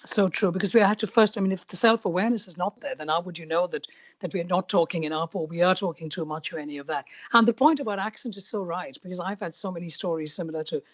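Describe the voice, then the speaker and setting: A woman's voice: lowish voice, fast talker, no echo. A woman hosting an interview podcast, asking reflective, empathetic questions in a conversational way.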